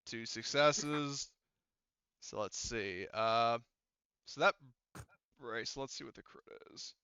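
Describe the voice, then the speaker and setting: Male game master, forceful bright tone, halting casual narration, dry close sound, slightly dull recording. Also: mid-range voice, clear, resonant tone, no echo. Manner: halting, hesitant phrasing.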